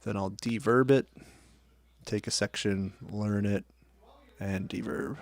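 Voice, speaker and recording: A man's voice: on the low side, fairly even intonation, takes it slowly, creaky, dry recording. A man casually talking through his podcast editing steps, correcting himself and narrating each click as he works. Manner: chatty, self-correcting, talks while working. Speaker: audio editing tutorial host. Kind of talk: casual screen-share tutorial.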